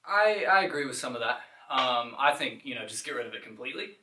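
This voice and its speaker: Sarcastic young man, bright echoey voice. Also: medium pitch, expressive, fast, far from the mic, a little hiss or hum. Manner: sarcastic, playful teasing. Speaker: podcast co-host. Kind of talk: casual podcast banter.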